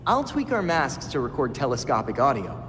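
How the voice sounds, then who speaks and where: Earnest young American sidekick, animated pitch. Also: male voice, a little fast. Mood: earnest, puzzled. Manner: earnest, analytical. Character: earnest, brainy young male tech-minded sidekick. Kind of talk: video game cutscene acting. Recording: long reverb, music behind.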